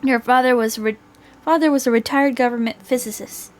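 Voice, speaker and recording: A woman voicing a reflective older man who narrates a visual novel in a measured, wistful way. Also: fairly high pitch, steady tone, voice pushed up, natural voice. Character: reflective older male lawyer, a wedded war veteran.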